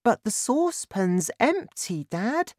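Woman playing an earnest high-pitched little boy. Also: very animated, slow pace, darkish tone, dry recording, studio quality, heavily acted. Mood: earnest, worried. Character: polite young boy, earnest and worried. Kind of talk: children's storybook character voice.

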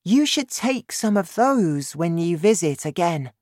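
Little storybook girl, played by a man: outspoken, exclaiming, high pitched, hugely animated intonation, unhurried and earnest. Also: no echo, clean studio sound.

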